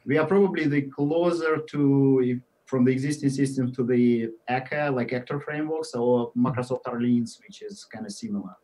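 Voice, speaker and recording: A man in his forties with a Russian accent, answering interview questions in a halting way, with many fillers and trailing off at the ends of phrases. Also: mid-range voice, warm tone, rough recording. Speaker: guest software engineer.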